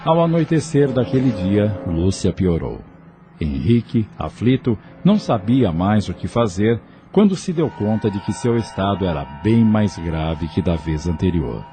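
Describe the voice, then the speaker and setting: A man narrating an audio novel in a measured, expressive storytelling way. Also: on the low side, over music.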